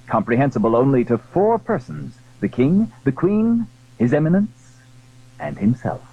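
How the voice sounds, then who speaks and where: A man narrating a classic adventure story in a dramatic, scripted style, with a husky voice. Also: mid-range voice, no room sound, muffled recording.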